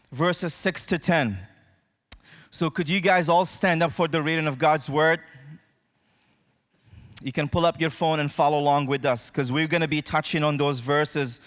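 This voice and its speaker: Man, preacher, somewhat high-pitched, conversational, direct address to congregation, long hall reverb. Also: fairly bright tone. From Morocco. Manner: conversational, addresses listeners directly with check-in questions. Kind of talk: sermon to a congregation. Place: church auditorium, speaking to a congregation.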